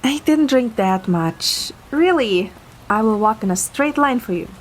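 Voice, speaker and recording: High-voiced, tipsy, stubborn young woman played by a woman. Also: lively intonation, unhurried. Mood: stubbornly tipsy. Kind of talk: romantic audio drama acting with first-person narration.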